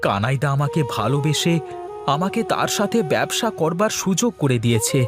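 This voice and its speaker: A man's voice: fairly high pitch, sing-song, normal speed. A man voicing a loyal, humble village helper with an earnest, urgent manner in a melodramatic cartoon. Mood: humble, grateful. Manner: earnest, urgent, repeats phrases. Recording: music behind the voice.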